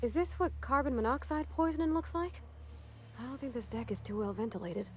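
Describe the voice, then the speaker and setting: An actress playing a sweet, earnest young ship engineer in a video game, chatty in a folksy, polite, eager way. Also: female voice, sits high, lively intonation, a little fast, a little hiss or hum. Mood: nervous. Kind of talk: video game dialogue acting.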